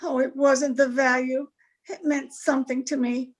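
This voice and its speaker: A haughty, anxious young wife played by a woman in an old-time radio mystery. Her voice is high, and she delivers her lines with melodramatic pride, like someone cornered and in trouble. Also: little pitch movement, normal speed. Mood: pained, confiding. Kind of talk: 1940s radio drama acting.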